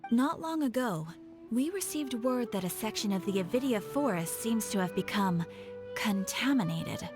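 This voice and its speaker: A composed woman lays out an urgent request in a measured, earnest tone, voiced by an actress. Her voice sits a little high. Faint game music plays behind her. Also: animated, natural voice. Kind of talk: video game voice acting.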